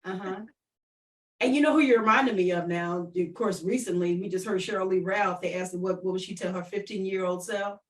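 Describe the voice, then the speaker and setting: A woman's voice: medium pitch, steady tone, fairly quick, distant mic. A woman hosting an online radio show, chatting with guests and listeners in an encouraging, affirming way and often repeating phrases. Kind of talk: warm-up chat interview, addressing listeners directly.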